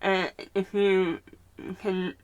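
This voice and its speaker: A woman with a strong Mississippi Southern accent talks through her makeup routine in a loose, rambling way. Her speech impediment is plain to hear. Her voice sits at an everyday, middle pitch, and she often trails off while hunting for the right word. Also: little pitch movement, very slow, clean tone, muffled recording. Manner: rambling, searching for words. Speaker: vlogger. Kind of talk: casual get-ready-with-me chat.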